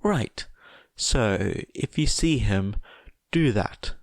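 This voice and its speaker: A man's voice: lowish voice, animated, extremely slow, dry recording, studio quality, exaggerated voice. A man voicing a nervous, polite teenage boy who talks carefully and sweetly in an audiobook story. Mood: polite, coaxing. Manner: hesitant, placating, polite.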